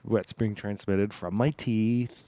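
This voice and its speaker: A man co-hosting a comedy podcast, chatting casually and deadpan through absurd bits. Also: lowish voice, slow, no room sound.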